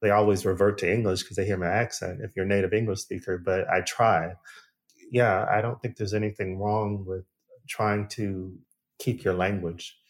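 An American man hosting a podcast, talking in an easy, reflective way with small restarts here and there. His voice sits fairly low. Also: little pitch movement, normal speed. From United States. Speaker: podcast host. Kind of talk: casual interview chat.

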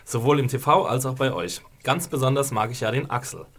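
A man's voice: medium pitch, lively intonation, a little fast, crowd noise. A man with a light Palatinate German accent, co-hosting a podcast and cheerfully reading out listener mail with a self-mocking laugh. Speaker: podcast co-host.

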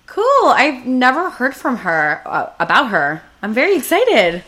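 A woman's voice: on the high side, huge pitch swings, measured pace, sharp tone, rough recording. A woman co-hosting a podcast, listening eagerly and reacting in casual chat. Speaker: podcast co-host.

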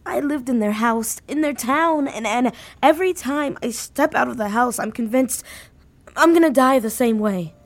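A woman playing an anxious, grief-stricken young woman in an audio drama, speaking haltingly and repeating words. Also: on the high side, lightly acted. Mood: upset, frustrated. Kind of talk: naturalistic audio drama acting.

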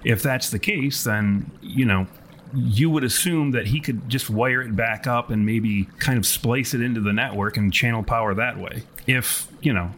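A man runs a tabletop game, narrating scenes in elaborate, bookish language. His pitch is mid-range, and there is faint noise behind him. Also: a bit bright. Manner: wordy, erudite, deliberate phrasing. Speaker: game master.

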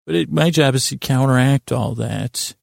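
A man's voice: medium pitch, moderate pace, creaky, dry recording, studio quality. A man hosting a bedtime podcast, rambling in a goofy, self-deprecating way, stammering and interrupting himself. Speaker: podcast host.

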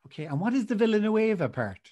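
A man's voice: high-pitched, big pitch swings, quick pace, darkish tone. A man hosting a podcast, asking questions eagerly with frequent stumbles and restarts. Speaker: podcast host. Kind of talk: casual interview chat.

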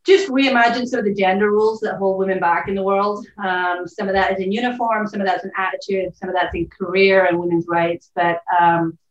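A woman's voice: medium pitch, fairly even intonation, a little fast, few pauses. A woman with a Northern Irish accent, chatting upbeat as a podcast guest. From Northern Ireland. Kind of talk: podcast interview, remote. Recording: echoey, distant, muffled call audio.

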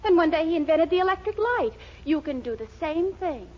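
A spirited young American girlfriend in an old radio sitcom, extremely high and very animated in pitch. She is emphatic, devoted and impatient. The recording is dull. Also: a little fast. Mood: indignant, urging. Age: young adult. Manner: emphatic, urging. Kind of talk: 1940s radio comedy acting. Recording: dull, faintly noisy 1940s radio recording.

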